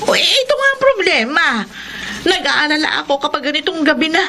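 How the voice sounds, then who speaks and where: A woman's voice: high voice, big pitch swings, fairly quick, pushed and bright, exaggerated voice. A woman playing a worried, scolding poor mother in a Tagalog radio drama, with a Filipino accent. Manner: fretful, scolding. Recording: noisy radio drama with background ambience.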